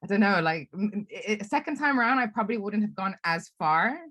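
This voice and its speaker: A woman's voice: mid-range voice, animated, fast, a bit bright, no room sound, clean studio sound. A woman of Lebanese origin in her thirties, a film director answering fan questions on a video call, chatty and self-reflective with frequent laughs and restarts. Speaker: film director being interviewed.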